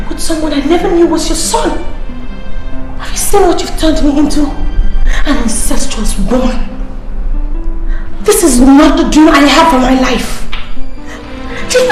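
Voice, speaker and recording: Distraught defiant woman, Nigerian accent, very high swooping voice, film drama, heavy echo, loud music behind. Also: expressive, normal speed, long pauses. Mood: bitter despair, then hysterical anguish. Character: distraught, defiant mistress of an older chief, furiously fed up. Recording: very echoey, distant, loud background music.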